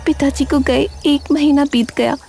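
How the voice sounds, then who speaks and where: A very high-pitched, girlish cartoon daughter, acted by a woman, devoted and earnest. She speaks with open emotion and resolve, with faint music behind her. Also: fairly even intonation, unhurried, vocal fry, mellow. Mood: sad. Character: devoted young daughter, grieving yet determined. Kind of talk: Hindi cartoon drama acting.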